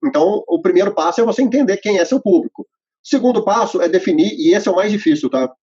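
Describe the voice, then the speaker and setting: A man with a husky, rather high voice walks through a method step by step, pausing on fillers as he explains. The recording sounds muffled and lo-fi. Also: lively intonation, dark tone. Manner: explanatory, enumerating steps, frequent fillers. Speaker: host presenting to accountants. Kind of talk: instructional conversation. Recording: muffled lo-fi audio.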